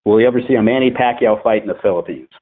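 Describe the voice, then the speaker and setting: A man's voice: lowish voice, very fast. Wry man moderating over a phone line. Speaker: conference call moderator. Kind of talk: casual press call moderation. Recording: tinny phone conference line.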